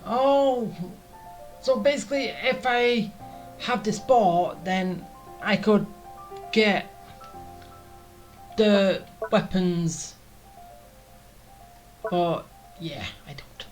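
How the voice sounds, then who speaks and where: Female game streamer: casual, unhurried, halting commentary over faint music. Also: mid-range voice, poor audio. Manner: halting, thinking aloud. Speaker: gaming streamer reacting while playing. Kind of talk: casual let's-play commentary.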